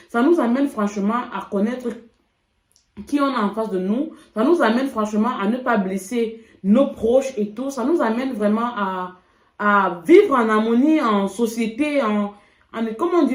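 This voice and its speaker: A woman from Cameroon, chatting reflectively in a casual couple vlog, with frequent pauses. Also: mid-range voice, a little mellow, echoey room. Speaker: vlogger.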